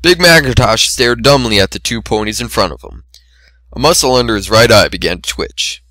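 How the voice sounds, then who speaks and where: A man's voice: medium pitch, lively intonation, fairly quick, bright, forceful tone, no echo. A man narrating a story as an amateur dramatic reading of fan fiction.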